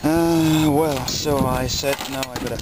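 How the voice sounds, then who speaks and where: A man's voice: medium pitch, steady tone, measured pace. A man with a French accent, a native French speaker, narrates a casual, unscripted car vlog outdoors in a hesitant, candid way, swearing casually. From Switzerland. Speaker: amateur car enthusiast filming own video. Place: outdoors beside a parked car. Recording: noisy amateur recording with car sounds. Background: loud car engine and ambient noise.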